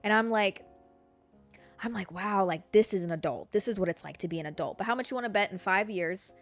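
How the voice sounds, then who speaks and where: A woman's voice: mid-range voice, fairly quick, soft background music. A woman in her mid-twenties hosting a podcast, giving listeners a blunt, profanity-laced motivational pep talk and calling them babe and girl. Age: 20s. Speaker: podcast host. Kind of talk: casual motivational monologue.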